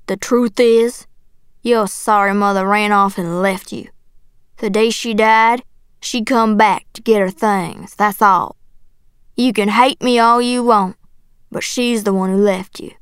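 A woman voicing a bitter, bullying middle-aged Southern father in an audiobook, gruff and profane in manner. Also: on the high side, steady tone, moderate pace, fairly bright tone, no room sound, studio quality, lightly acted. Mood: cold menace. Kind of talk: audiobook character acting.

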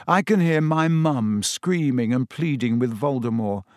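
A man's voice: medium pitch, measured pace, no room sound, natural voice. A brooding teenage boy hero, read by a man in an audiobook, stubborn and intense. The character speaks tersely, in a dry close recording. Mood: bitter.

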